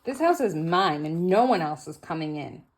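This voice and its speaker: A woman's voice: lowish voice, animated, normal speed, slight background noise. A woman voicing a possessive, bossy little boy in a storybook, with emphatic delivery.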